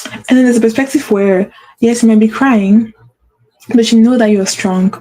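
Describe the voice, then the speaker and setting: Mellow-voiced woman with a stutter. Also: on the high side, steady tone, moderate pace, low-quality recording. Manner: emphatic, repeats phrases for effect. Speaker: host. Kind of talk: motivational talk to camera.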